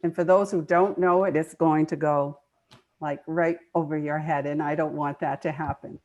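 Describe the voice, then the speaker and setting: A woman's voice: low-pitched, fairly even intonation, normal speed, highs cut off, over a noisy crowd. An older woman speaking conversationally as a guest in an online meeting, explaining things earnestly and repeating words for emphasis. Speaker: guest speaker, nonprofit foundation trustee.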